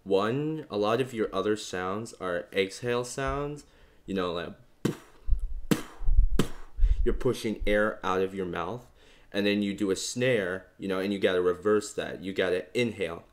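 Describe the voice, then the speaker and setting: A young man with a very low bass voice walks the listener through beatbox sounds. He talks casually and rambles a bit, leaning on fillers as he explains. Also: normal speed, heavy background noise. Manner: relaxed, rambling, many fillers. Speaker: beatbox tutorial host. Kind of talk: casual instructional talk.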